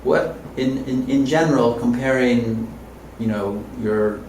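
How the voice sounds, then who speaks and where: A man interviewing a student, patient and soft-spoken with gentle murmured backchannels. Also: medium pitch, a little slow, a little hiss or hum. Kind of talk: casual interview. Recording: roomy, distant mic.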